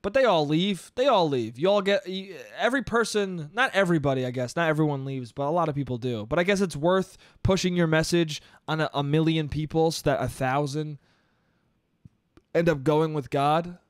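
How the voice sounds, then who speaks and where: Solo podcaster, young man, slightly high voice, congested, loose profane rambling, dry close mic in a small room. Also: normal speed, no room sound. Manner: loose, profane, rambling, laughs at own jokes. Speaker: podcast host. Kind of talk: casual solo podcast monologue. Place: small bedroom studio. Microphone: boom-arm studio mic up close.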